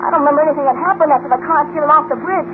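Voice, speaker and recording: A man's voice: very high-pitched, rapid-fire. A man playing an earnest, wide-eyed boy cub reporter in an old radio serial, always questioning. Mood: eager, puzzled. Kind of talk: 1940s radio serial acting. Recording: tinny, noisy vintage radio recording.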